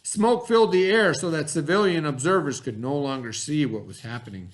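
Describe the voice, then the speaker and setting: Higher-voiced man reading aloud as a teacher. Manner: explanatory, pausing to gloss words and ask questions. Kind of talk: educational read-aloud with asides and questions.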